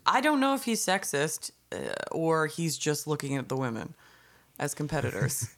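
A woman's voice: low-pitched, sing-song, normal speed, a bit bright. A young woman co-hosting a casual recap podcast, blunt and sarcastic, swearing freely. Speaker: podcast co-host.

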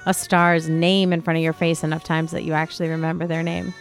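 A woman's voice: low-pitched, little pitch movement, a little fast. A woman chats casually as a podcast co-host. Her talk is informal and exclamatory, with guitar music faintly behind her voice. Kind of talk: casual banter.

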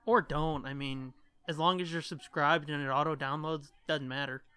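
A man's voice: a little high, fairly even intonation, moderate pace, clear, resonant tone, no room sound. A man co-hosting a podcast in casual chat, joking darkly and swearing freely. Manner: irreverent, foul-mouthed, dark joking. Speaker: podcast co-host.

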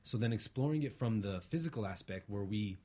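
A man's voice: lowish voice, lively intonation, a little fast, a little mellow. A man speaking as an interview guest in a reflective, earnest way, using abstract spiritual phrasing and often checking for agreement.

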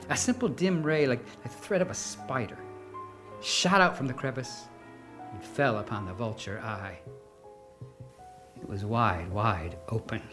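A man performing a nervous, obsessive madman who confesses to murder and insists he is sane, in an intense, halting gothic horror reading. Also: medium pitch, lightly acted. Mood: creeping dread. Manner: intense, halting, swinging from hushed to exclaiming. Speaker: dramatic reader narrating a horror story.

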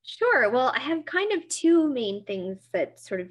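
A woman's voice: high-pitched, sing-song, measured pace. A middle-aged woman from Nova Scotia with a Canadian accent, speaking earnestly as a therapist guest in an interview, with many fillers and restarts. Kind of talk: interview conversation.

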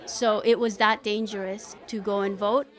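A woman's voice: medium pitch, little pitch movement, normal speed. A woman from El Salvador who moved to Canada as a child, talking conversationally in an interview as a community coordinator. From El Salvador, moved to Canada at eleven.

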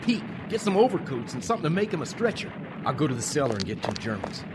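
A young American private, played by a man, earnest and dogged, with a high voice that swings widely in pitch. He speaks plainly and urgently in a war radio drama, amid loud battle effects. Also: sing-song, fast, very noisy.

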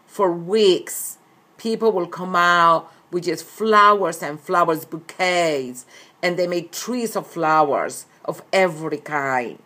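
A woman's voice: lowish voice, slow, sharp tone. A middle-aged woman from Colombia, speaking earnestly and often repeating herself as an interviewed author. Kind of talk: recorded interview.

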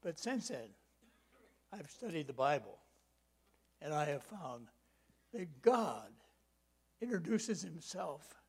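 An elderly man in his eighties delivers a sermon in a slightly raised voice. He leans hard on key words, stretching them out, then slips into quiet, homely asides and personal stories. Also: on the high side, big pitch swings, slow pace, long pauses, breathy edge. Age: 80s. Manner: folksy personal storytelling. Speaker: preacher.

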